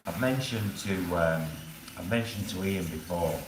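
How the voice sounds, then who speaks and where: A man's voice: sits low, animated, a little slow. A man chatting casually on a vlog. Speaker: vlogger getting a haircut. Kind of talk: casual chat. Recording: echoey room, clear background noise.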